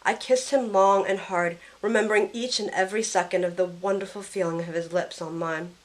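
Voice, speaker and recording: A woman's voice: medium pitch, steady tone, brisk, lightly acted, rough recording. A woman playing a grieving, guilt-ridden young woman who tells her story in an earnest, emotional first-person audio drama. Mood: sorrowful, reflective.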